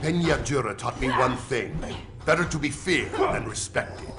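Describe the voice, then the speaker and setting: A man's voice: mid-range voice, expressive, normal speed, lightly acted. A man playing a brutal, boastful strongman villain in a video game. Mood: menacing. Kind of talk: video game cutscene acting. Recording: loud game music behind.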